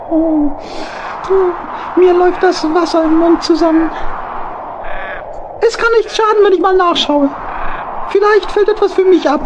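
A woman's voice: extremely high pitch, background noise. A woman voicing a cheerful, eager little hedgehog in a German children's audio play, exclaiming with singsong wonder.

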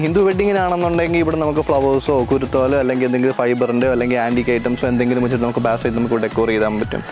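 A man with a Kerala Malayali accent giving a casual, practical venue walkthrough as an event planner and vlog host, mixing in English terms. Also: medium pitch. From Kerala, India. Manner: explanatory, practical, peppers talk with English terms.

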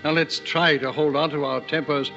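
A man's voice: a little high, steady tone, moderate pace, gravelly, loud music behind the voice. An actor playing a shrewd, conciliatory statesman who reasons tactfully in an old-time radio drama. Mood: diplomatic. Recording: dull vintage radio recording.

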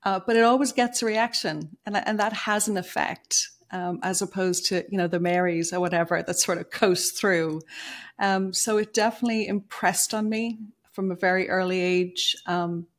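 A woman's voice: medium pitch, steady tone, normal speed. A woman in her fifties from Dublin with an Irish accent, a guest author speaking thoughtfully on a video call, with many fillers and easy laughs. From Dublin, Ireland. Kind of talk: conversational podcast interview. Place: home room, video call. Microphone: over-ear headphones, video call.

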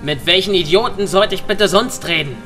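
A man's voice: high-pitched, moderate pace, voice pushed up. A man playing a cocky young street loser picking a fight in an audio drama. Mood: defiant. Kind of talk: audio drama character acting. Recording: music under the voice.

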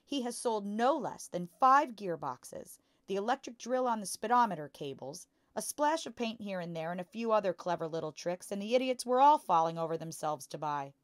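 A woman voicing a boastful, bullying father who deals in crooked cars, in a loud, hectoring manner for a storybook. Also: medium pitch, animated, normal speed, hardly any pauses, no echo, studio quality, voice pushed up, natural voice.

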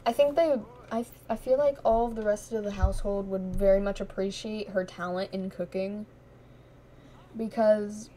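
A woman's voice: medium pitch, mellow, low-quality recording. A young woman who is losing her voice, giving casual, giggly commentary while playing a life-sim game and chatting to the characters. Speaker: gaming streamer. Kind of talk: casual Let's Play commentary.

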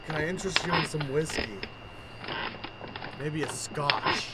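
An actor playing an eccentric professor, speaking in a theatrical, grandiloquent way in an improvised radio play. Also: male voice, fairly high pitch, measured pace, heavy background noise, close to an everyday voice. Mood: hospitable, wry. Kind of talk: improvised old-time radio play acting.

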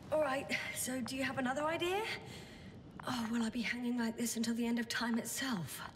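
An actress playing a composed, wry woman scientist exploring a planet in a video game, speaking in a measured, dry way. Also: fairly high pitch, fairly even intonation, noisy background, lightly acted. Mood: strained, exasperated.

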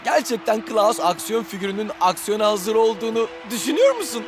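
Very high-pitched, goofy cartoon pitchman. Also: expressive, fairly quick. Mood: surprised. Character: flamboyant, eager, goofy male footballer turned pitchman. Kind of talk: cartoon dubbing, mock advertising pitch. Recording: music behind.

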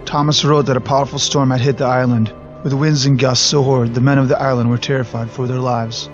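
A man narrating a scripted documentary in a measured, even storytelling manner. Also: mid-range voice. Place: voice-over recorded apart from the footage. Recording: slightly dull recording with faint music.

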